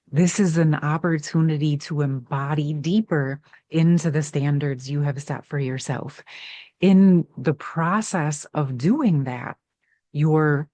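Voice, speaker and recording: A woman giving an intuitive card reading, musing softly about feminine and masculine energies, with her voice catching now and then. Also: deep voice, no echo. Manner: slow, soft, drawn-out spiritual musing. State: voice catching, clearing throat. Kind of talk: spiritual tarot reading.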